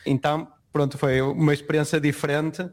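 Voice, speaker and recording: A man from Portugal, a university lecturer answering interview questions in a relaxed, conversational way with frequent restarts. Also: on the high side, a little fast. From northern Portugal. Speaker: guest, university lecturer living abroad.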